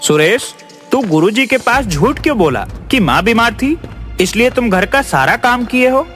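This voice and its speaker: An actor playing an honest, simple, dutiful young elder brother in a children's cartoon story. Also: male voice, extremely high pitch, expressive, normal speed, fairly bright tone. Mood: reproachful. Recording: music behind the voice.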